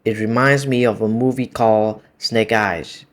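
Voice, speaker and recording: A man with a Vietnamese accent, speaking English as a second language in a slightly low voice. He talks in a calm, measured and contemplative way, like a reflective solo monologue. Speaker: video essay host.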